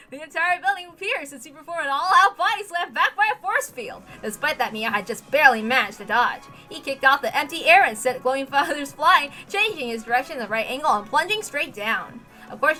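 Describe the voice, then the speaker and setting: Female narrator for visual novel action, high register, forceful edge, expressive and emphatic read.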